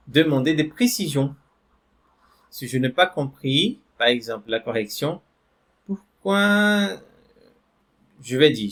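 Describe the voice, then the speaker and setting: Male French teacher, mid-pitched, patient and slow, reads screen text aloud. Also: a bit bright. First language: Portuguese. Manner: slow, repeats "d'accord?" and "voilà" to check in. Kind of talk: online language lesson.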